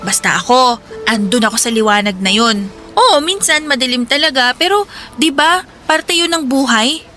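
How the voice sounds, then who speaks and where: A woman's voice: high voice, lively intonation, moderate pace, music in the background. An actress playing a cheerful, love-struck young grocery worker in a radio drama, teasing and giggly as she chats. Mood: earnest, hopeful. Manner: giggly, playful, chatty. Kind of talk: Tagalog radio love-story drama acting.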